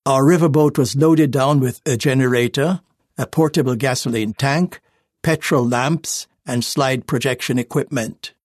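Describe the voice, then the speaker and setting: A man reading a first-person life story aloud in a measured, earnest scripted narration. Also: mid-range voice, dry recording, clean studio sound. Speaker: reader of a magazine life story.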